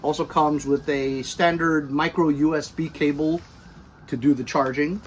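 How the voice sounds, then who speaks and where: A middle-aged American man giving a casual, chatty product review with animated emphasis, recorded by a camera a few feet away in a garage. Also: fairly high pitch, measured pace, slight background noise. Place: cluttered garage or workshop.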